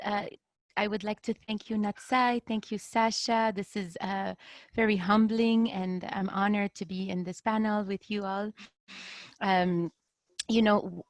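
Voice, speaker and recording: A woman's voice: mid-range voice, no room sound. A woman from Lebanon giving unscripted remarks as a panel speaker on a video call, earnest and often searching for words. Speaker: panel speaker, design activist.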